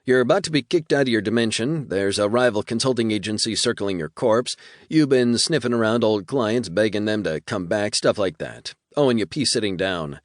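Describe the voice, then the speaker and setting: A man's voice: lowish voice, moderate pace, no echo, studio quality, pitched above the performer's own voice, close to an everyday voice. A man voicing a breezy, folksy male demon who runs his business coldly and calls people Hoss.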